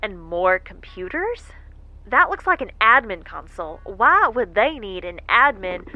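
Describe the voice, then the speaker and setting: A woman's voice: mid-range voice, big pitch swings, unhurried, highs cut off, faint music behind. A woman playing a stranded, injured space explorer in a sci-fi audio drama, with a wry, folksy way of muttering asides. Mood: strained, puzzled.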